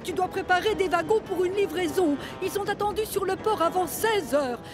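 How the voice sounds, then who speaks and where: A hardworking female diesel engine from a kids' cartoon. She sounds high, earnest and polite yet firm, with music behind her. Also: normal speed. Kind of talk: animated children's cartoon dialogue.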